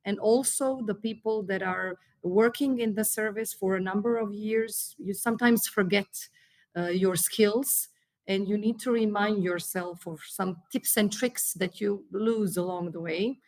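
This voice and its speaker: A woman from Croatia with a Croatian accent, a probation trainer answering interview questions in careful English, pausing often with fillers and restarts. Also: mid-range voice, darkish tone, no echo. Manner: hesitant, many fillers and restarts.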